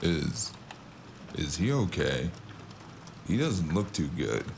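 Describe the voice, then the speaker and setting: An actor playing a drained, wounded investigator in an audio drama, dazed and in pain but dryly wry and halting. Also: male voice, a bass voice, sing-song, creaky, darkish tone, slight background noise, exaggerated voice. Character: drained, wounded, sardonic male investigator, stubborn and groggy.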